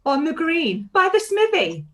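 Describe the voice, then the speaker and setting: A woman playing a lively, teasing middle-aged aunt in a radio play. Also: very high voice, measured pace, full character voice. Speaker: actor in a live-read radio play. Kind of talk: period radio play acting.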